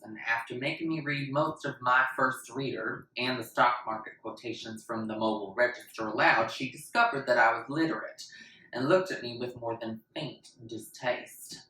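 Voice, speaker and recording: A woman's voice: a bass voice, fairly bright tone. A woman narrating in the style of a dramatic book reading. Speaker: actor narrating. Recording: echoey, distant mic, faint noise.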